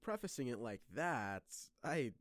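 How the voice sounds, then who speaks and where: A man's voice: mid-range voice, big pitch swings, measured pace, a bit bright, no echo, studio quality. A man playing a cocky, awkward teenage boy hero in an anime fan dub, brash and jokey. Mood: casual. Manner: brash, jokey, dramatic outbursts.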